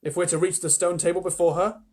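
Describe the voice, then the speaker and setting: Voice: mid-range voice, level tone, quick pace, darkish tone, voice pushed up, close to an everyday voice. A parent reading a storybook aloud, voicing an earnest young boy asking a question. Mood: eager.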